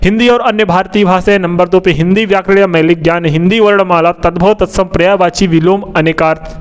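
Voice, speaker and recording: A man's voice: sits high, steady tone, fairly quick, clean tone. A man teaching in an informal lecture style, repeating key points, asking questions and answering them himself, often adding tag questions. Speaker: exam coaching teacher. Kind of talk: informal explanatory lecture on an exam syllabus.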